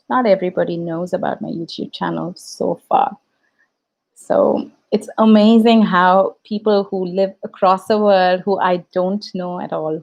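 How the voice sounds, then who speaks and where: A woman's voice: fairly low pitch, gravelly, a little mellow. A woman with an Indian English accent and Tamil as her first language, chatting reflectively on a live stream as a YouTube host, with fillers and restarts. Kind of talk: casual live-stream chat.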